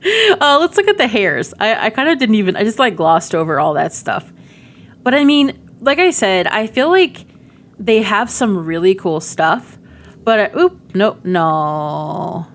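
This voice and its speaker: A woman's voice: mid-range voice, sing-song, measured pace. A middle-aged woman giving casual, rambling game demo commentary with cutesy slang. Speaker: gaming video creator.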